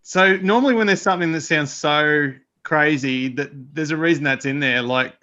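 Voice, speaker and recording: Laid-back co-host with muffled audio. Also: male voice, fairly high pitch, normal speed, pushed and bright. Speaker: podcast co-host. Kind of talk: casual chat. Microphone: video call.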